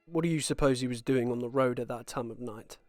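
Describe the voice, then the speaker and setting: An actor playing a sober, methodical British police detective in a naturalistic radio play. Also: male voice, medium pitch, a little mellow, dry recording, clean studio sound, soft background music, natural voice. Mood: pondering. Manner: measured, procedural.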